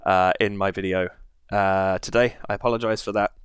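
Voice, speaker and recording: A man's voice: sits low, steady tone, fairly quick, clear, resonant tone, no room sound. A man hosting his reaction channel from a basement studio, chatting casually with a head cold and rambling through many fillers. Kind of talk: casual to-camera chat.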